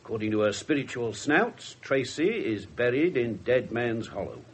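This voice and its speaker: A man playing a gruff, wry, irreverent English police inspector in a radio drama, blunt and husky. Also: medium pitch, lively intonation, normal speed. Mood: wry, grumbling. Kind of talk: 1970s radio drama acting.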